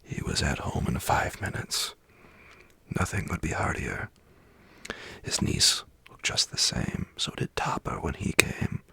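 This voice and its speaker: Male ASMR storyteller, extremely low and gravelly, hushed, unhurried, for bedtime tales. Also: expressive, no echo. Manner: hushed, dramatic reading. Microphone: close mic.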